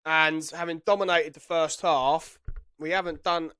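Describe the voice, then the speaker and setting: A man's voice: fairly high pitch, fairly even intonation, measured pace, pushed and bright, clean tone, no room sound. A man with a head cold giving chatty, self-correcting commentary while playing a football management game. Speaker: gaming YouTuber. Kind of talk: casual gameplay commentary.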